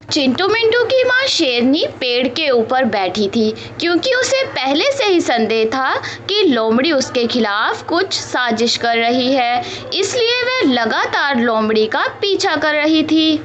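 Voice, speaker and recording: A woman narrates a children's cartoon fable from a script. Her voice is very high and animated, and she takes her time. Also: measured pace, few pauses, dull audio, noisy background.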